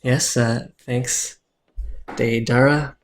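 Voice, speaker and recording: Man, mid-pitched, soothing voice; calm live game streamer explaining moves, keyboard clicks behind. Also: extremely slow, a little hiss or hum.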